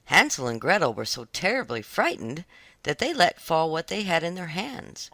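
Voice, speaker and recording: Audiobook narrator for children's fairy tales: expressive range, some fry, dry recording. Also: mid-range voice, normal speed, no echo.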